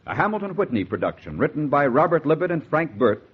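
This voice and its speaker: A man's voice: a little high, very animated, quick pace. A man announcing a 1940s radio mystery in a dramatic, ominous manner. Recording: very noisy, dull vintage radio recording.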